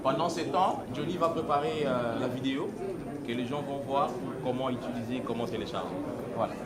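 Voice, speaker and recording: Man, moderator, brief handovers, distant, echoey hall, loud crowd. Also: mid-range voice, fairly quick. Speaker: press conference moderator. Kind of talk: moderating a press conference.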